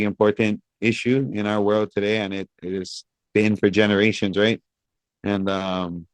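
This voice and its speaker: A man in his thirties from Hawaii, answering interview questions in a thoughtful, measured way with many pauses. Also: lowish voice, clean tone, dry recording. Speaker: guest, reggae singer. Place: outdoors by a pool among high-rise buildings.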